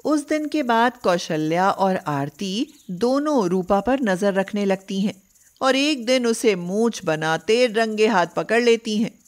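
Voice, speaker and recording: Woman narrator, scripted storytelling, medium pitch, unhurried pace.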